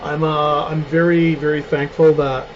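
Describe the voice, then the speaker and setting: Male home cook, slightly high voice, chatty and joking, dull lo-fi stream audio with noise. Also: little pitch movement, unhurried. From Canada. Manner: chatty, joking, narrates his cooking steps. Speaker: cooking show host. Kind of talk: casual live cooking stream. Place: home kitchen.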